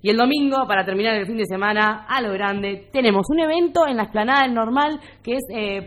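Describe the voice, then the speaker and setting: A woman with an Argentine accent co-hosting a radio show, chatting casually and announcing events in an informal, playful way. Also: medium pitch. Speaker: radio co-host.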